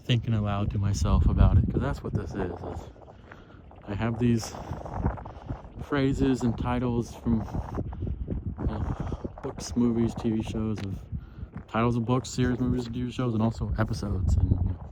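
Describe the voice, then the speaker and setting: A man's voice: on the low side, steady tone, moderate pace. A man thinking aloud in an unscripted, rambling, reflective way while walking outdoors. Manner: musing, rambling, often checking himself with "right?". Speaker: solo audio diarist. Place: outdoors, walking on a levee at sunset. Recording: very noisy outdoor ambient recording. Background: loud outdoor ambience, birds.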